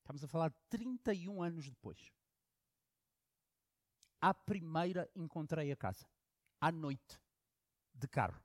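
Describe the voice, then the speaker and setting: A man from Angola who is a pastor, preaching a sermon in the European manner, telling stories and swinging from loud emphasis to hushed asides. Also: on the high side, expressive, a little slow, pauses often, no room sound, clean studio sound.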